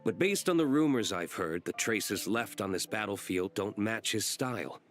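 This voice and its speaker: A man's voice: lowish voice, animated, moderate pace, lightly acted. An actor playing an aloof, composed young nobleman in a video game, reserved and formal in his delivery. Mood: cool, composed. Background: game music.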